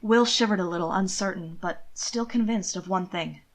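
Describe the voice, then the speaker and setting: A woman narrating a period romance story as an amateur audiobook reader, with expressive, scripted delivery. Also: mid-range voice, moderate pace, low-quality recording. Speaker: amateur fan fiction narrator.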